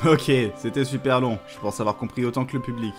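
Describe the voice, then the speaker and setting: Fast-talking man over prominent music. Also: medium pitch, steady tone. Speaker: debate show panelist. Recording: music clearly behind the voice.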